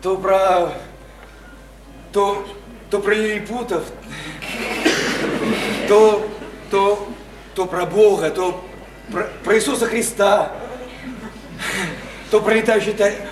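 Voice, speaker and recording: Slow, high-voiced, hesitant young man. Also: fairly even intonation, echoey room, distant mic, poor audio. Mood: bewildered. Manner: stammering, halting, drawn-out delivery. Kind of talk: stage-style radio drama acting.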